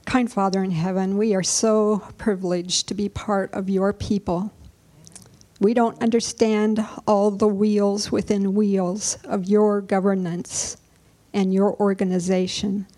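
A woman from Michigan moderating a church panel discussion, speaking gently and earnestly. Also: mid-range voice, flat delivery, unhurried. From Michigan, USA.

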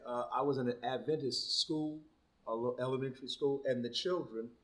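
Man, class student, halting talk, heard from across the room. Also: medium pitch, steady tone. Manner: hesitant, retelling a surprise.

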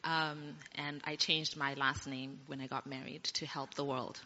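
A woman's voice: extremely low pitch, level tone, a little slow, noisy background. A woman from Zimbabwe who works in youth ministry, introducing herself in a light, good-humored way. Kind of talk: panel self-introduction. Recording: dull sound with long hall reverb.

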